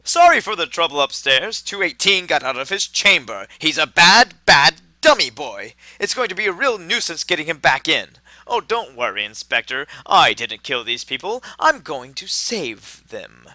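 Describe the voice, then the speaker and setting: A man's voice: fairly high pitch, very animated, moderate pace, few pauses, pushed and bright, dry recording, exaggerated voice. A man acting a gleefully menacing mad scientist in a radio drama, theatrical and childishly scolding. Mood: gleefully sinister.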